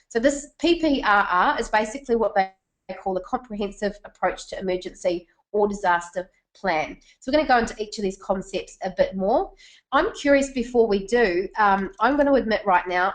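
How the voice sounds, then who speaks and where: A woman from New Zealand with a Kiwi accent, presenting a business webinar in a chatty way and putting questions to her audience. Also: mid-range voice.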